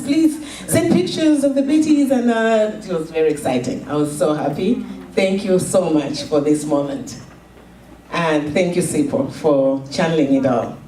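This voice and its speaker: A middle-aged woman from Zambia, slowly telling a personal story at a fundraiser, with halting pauses and repeated words. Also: on the low side, dark tone, a little hiss or hum. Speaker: charity founder giving a speech. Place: event hall. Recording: echoey room, from a distance.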